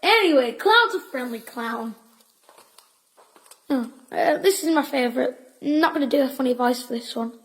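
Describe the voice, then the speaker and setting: A woman's voice: sits high, lively intonation, moderate pace, low-quality recording. A woman playing a teasing, playful working-class child in a Victorian audio drama, with clipped, informal speech.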